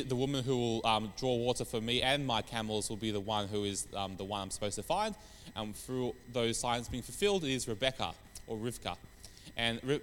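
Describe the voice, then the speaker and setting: A man with a croaky voice from hay fever, giving a relaxed sermon with many pauses and fillers. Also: mid-range voice, big-room sound. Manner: rambling, many fillers and restarts. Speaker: preacher. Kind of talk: informal sermon on scripture.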